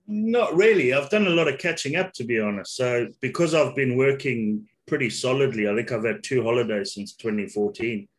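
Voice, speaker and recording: A man's voice: mid-range voice, expressive, brisk, few pauses. Chatty man from Zimbabwe on a video call. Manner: chatty, laughs often, many restarts. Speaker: podcast guest, business owner. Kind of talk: casual conversation over a video call.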